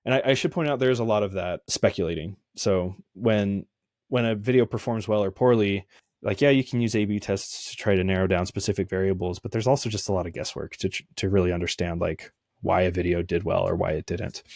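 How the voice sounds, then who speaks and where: A man's voice: sits low, brisk, darkish tone, no room sound, clean studio sound. A young man, an indie game developer, talking casually in an interview and rambling with many fillers and restarts.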